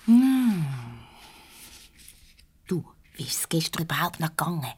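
A woman playing a gossipy, easygoing office colleague in a Swiss German radio comedy, husky and conspiratorial. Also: on the low side, lively intonation, a little slow, a little mellow, full character voice. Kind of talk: naturalistic 1970s radio comedy acting.

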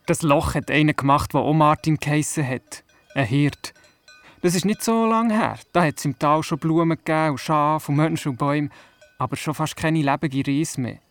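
A man's voice: fairly high pitch, moderate pace, dry recording, soft background music, lightly acted. A male performer playing a curious Swiss city boy who narrates an audio play, with a Swiss German accent. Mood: curious, wondering. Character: curious city boy telling of his grandmother. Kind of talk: storytelling narration in a radio play.

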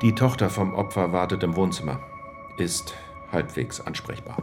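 An actor playing a matter-of-fact, slightly grumpy police investigator in a German radio crime drama, plain and businesslike. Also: male voice, deep voice, normal speed, over loud music, close to an everyday voice.

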